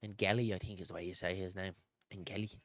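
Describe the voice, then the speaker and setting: Low-voiced Dublin man calling football. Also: steady tone, a little fast, fairly bright tone, dry recording. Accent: Dublin Irish. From Dublin, Ireland. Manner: informal, matey, addresses viewers as pal and buddy. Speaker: host of a football fan watchalong stream. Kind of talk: live football commentary mixed with casual chat to viewers.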